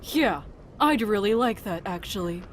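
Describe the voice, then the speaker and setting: A woman's voice: mid-range voice, lively intonation, a little slow, slight background noise, natural voice. A woman playing a level-headed, outspoken scientist in an audio drama, frank and reasoning. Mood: challenging. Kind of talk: natural audio drama acting.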